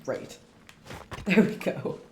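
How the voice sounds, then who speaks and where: A woman's voice: very slow, mellow, slight background noise. A woman streaming a game with casual, rambling commentary, thinking aloud over game music. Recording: echoey room, faint game music. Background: faint game music.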